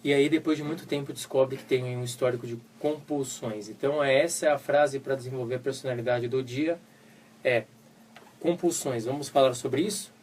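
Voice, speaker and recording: A man's voice: medium pitch, steady tone, moderate pace, a little mellow, far from the mic, slight background noise. A Brazilian man co-hosting a live web radio show, recovering from a flu, explaining with everyday examples. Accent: Brazilian Portuguese. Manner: informal, explains with everyday examples and voiced asides. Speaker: co-host psychologist.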